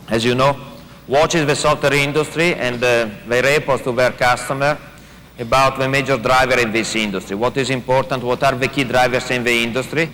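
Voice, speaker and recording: A man's voice: mid-range voice, a bit bright. A man presenting at a corporate conference, introducing a guest speaker with hesitant, slightly non-native phrasing. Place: large conference hall. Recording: long hall reverb, faint noise.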